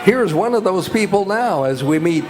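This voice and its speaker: A man's voice: high voice, animated, normal speed, over music. An American man announcing in old-time radio style, reading introductions and sponsor copy.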